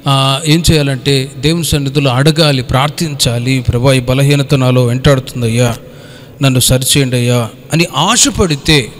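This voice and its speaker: A man's voice: medium pitch, normal speed. A man preaching a Bible study as a pastor, exhorting in colloquial speech with rhetorical questions and some English words mixed in. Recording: echoey hall with long reverb.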